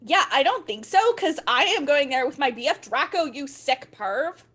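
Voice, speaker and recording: A woman's voice: high-pitched, animated, normal speed, a bit bright. A woman playing a brash, sassy teenage goth girl in an amateur dramatic reading of fan fiction. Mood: indignant. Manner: sassy, text-speak abbreviations spoken out.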